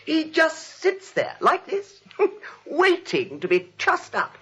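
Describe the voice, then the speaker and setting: An actor playing a frantic, exasperated young man in excitable old-time radio comedy style, with a husky voice. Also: extremely high pitch, slow pace, exaggerated voice. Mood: mocking, overconfident. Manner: excitable, theatrical. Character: frantic, exasperated young theatre critic nephew. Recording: dull vintage radio recording.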